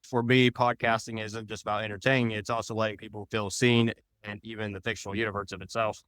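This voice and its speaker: A man's voice: fairly low pitch, flat delivery, quick pace, fairly bright tone, no room sound. A man giving casual, rambling interview answers as a podcast guest, in loosely phrased explanations. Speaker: podcast guest, audio drama creator.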